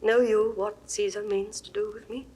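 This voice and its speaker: An actress playing a proud, grieving Egyptian queen in a classical verse recording, moving from hushed whispers to ringing outbursts. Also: medium pitch, level tone, moderate pace, a little hiss or hum, natural voice. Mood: grieving, dreamy.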